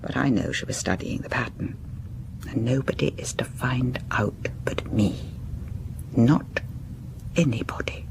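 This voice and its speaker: An actress playing a secretive, obsessive confined wife sliding into madness in a gothic audio drama monologue, halting and often slipping into breathy whispers. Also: female voice, very deep voice, exaggerated voice. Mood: suspicious.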